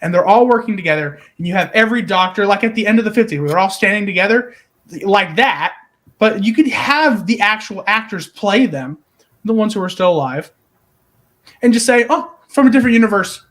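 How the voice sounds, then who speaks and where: A man with a notably high voice, chatting casually as a podcast co-host. He is excitable and emphatic, pitching fan ideas with the odd swear word. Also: fairly quick.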